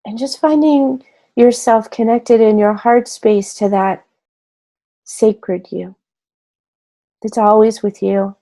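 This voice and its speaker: Female meditation guide: relaxed, warm tone, very slow delivery, gentle and soothing with spacious pauses. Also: fairly high pitch, vocal fry. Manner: gentle, unhurried, with long pauses between short phrases.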